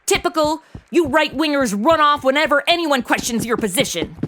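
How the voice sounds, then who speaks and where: Snarky, lively young sidekick. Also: female voice, sits high, normal speed, slight background noise. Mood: feisty, indignant. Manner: snarky, slangy, irreverent. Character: Madison, sassy modern young woman stuck playing Robin. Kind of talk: comedic audio drama acting with wry asides.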